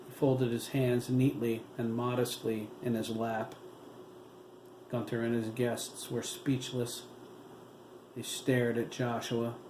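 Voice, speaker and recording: A man reading a novel aloud as its narrator, at a slow, steady, even pace. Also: mid-range voice. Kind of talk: audiobook narration. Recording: roomy room with steady hiss.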